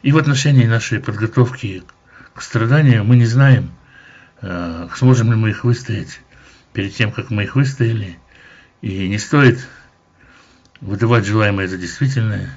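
A man teaching verse by verse through a Bible chapter, in a measured, explanatory way with occasional hesitations. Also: fairly low pitch, darkish tone, slightly rough, dull audio.

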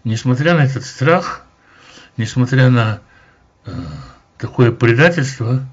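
A man explains a Bible chapter passage by passage, speaking in a measured, explanatory way and now and then pausing to hesitate. His voice sits at an ordinary pitch and has a husky edge. The recording sounds dull and lo-fi. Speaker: Bible teacher. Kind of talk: verse-by-verse Bible commentary.

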